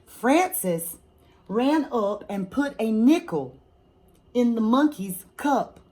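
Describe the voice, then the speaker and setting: A woman with a fairly high voice reads a children's story aloud as a teacher would. She takes it very slowly and deliberately. She often turns to her young listeners with questions and asides. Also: lively intonation, darkish tone, rough recording. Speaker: fourth grade teacher reading aloud to children. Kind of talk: expressive children's picture-book read-aloud with asides to listeners.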